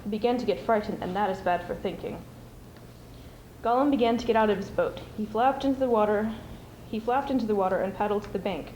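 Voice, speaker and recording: A woman's voice: medium pitch, fairly quick, a little mellow. A young woman, a student performer, giving a dramatic reading of a storybook. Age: teens or young adult. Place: school speech showcase before an audience. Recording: roomy, noisy amateur stage recording.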